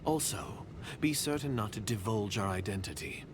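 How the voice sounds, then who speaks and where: Low hushed schemer guy voicing him in a game, half whispers, noisy game audio. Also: animated, moderate pace, lightly acted. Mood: scheming. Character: secretive scheming foreign agent, adult man. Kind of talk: video game voice acting.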